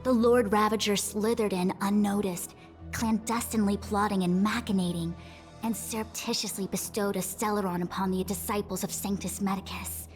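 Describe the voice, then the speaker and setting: A woman voicing a dignified, authoritative young diviner and acting general in a video game, speaking formally and with measured eloquence. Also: medium pitch, steady tone, faint music behind, close to an everyday voice, poor audio. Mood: grave, analytical. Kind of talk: video game character dialogue.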